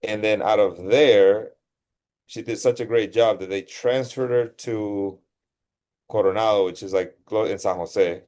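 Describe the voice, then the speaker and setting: A middle-aged man from Costa Rica speaks in a fairly low voice. He answers interview questions in a relaxed, rambling way, often correcting himself mid-sentence. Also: a little slow. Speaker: guest, surgeon. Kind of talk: casual interview storytelling.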